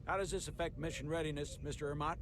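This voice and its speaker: An actor playing a stern, authoritative starship captain with bruised pride in a sci-fi game. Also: male voice, fairly high pitch, fairly even intonation, fast, background noise, natural voice. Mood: commanding. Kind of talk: cinematic sci-fi game acting. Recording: dramatic music underneath.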